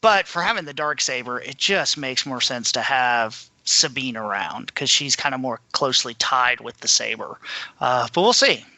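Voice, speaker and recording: A man's voice: mid-range voice, expressive, moderate pace, sharp tone, no echo. A man running through news in a casual, informal way as a podcast panelist. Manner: informal, conversational. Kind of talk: casual news rundown and discussion.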